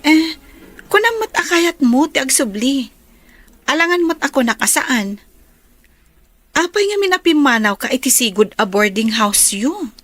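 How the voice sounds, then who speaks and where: A woman's voice: high-pitched, very animated, unhurried, pauses often, a bit bright, no echo, faint music behind, full character voice. A woman acting a concerned young woman in an Ilocano audio drama.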